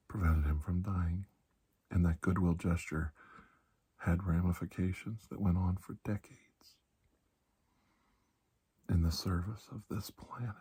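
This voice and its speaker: A man acting as a calm doctor in an ASMR roleplay, with a very breathy, husky voice that sits low. He soothes his patient in slow, halting phrases, often dropping close to a whisper. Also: exaggerated voice. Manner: measured, halting, soothing delivery. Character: calm, reassuring male doctor treating a patient. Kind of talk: ASMR roleplay, hushed storytelling.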